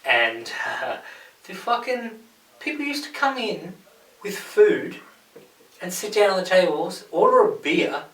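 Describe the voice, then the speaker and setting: A man's voice: high voice, sing-song, a little slow, fairly bright tone. A man who is a guest on a podcast, chatting casually and bluntly with constant swearing. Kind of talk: casual chat. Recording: echoey room, mic at a distance.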